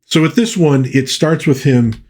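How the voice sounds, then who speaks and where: Slightly husky man, chatty pop culture host. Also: a little high, expressive, unhurried, darkish tone. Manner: conversational, self-correcting, enthusiastic fan. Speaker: host of a pop culture channel reviewing old pulp fiction. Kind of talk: casual rambling book review to viewers, with short read-aloud passages.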